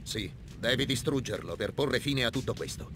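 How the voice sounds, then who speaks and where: A man playing a calm, noble, fatherly guide in an Italian video game dub, speaking in a measured, solemn way. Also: medium pitch, a little hiss or hum, lightly acted. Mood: solemn, instructive.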